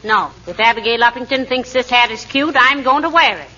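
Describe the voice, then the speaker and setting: A bright, rather high-voiced wife, middle-aged, wry and teasing. She has a folksy, sensible manner suited to 1940s radio comedy. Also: a little fast. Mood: playful, teasing. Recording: muffled hissy 1940s radio recording.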